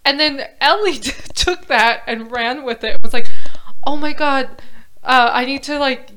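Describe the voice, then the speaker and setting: A woman co-hosting a casual podcast, chatty and quick to laugh, often trailing off mid-sentence, recorded at home on lo-fi gear. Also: high-pitched, bright, forceful tone. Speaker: podcast co-host. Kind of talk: casual chat.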